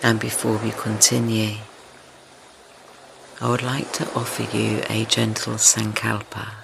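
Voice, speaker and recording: A man speaks very slowly in a low voice thick with vocal fry. He guides a meditation gently and soothingly, leaving long pauses. Ocean waves wash steadily behind him. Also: completely flat delivery, noisy background. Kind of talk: slow guided meditation, yoga nidra. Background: ocean wave sounds.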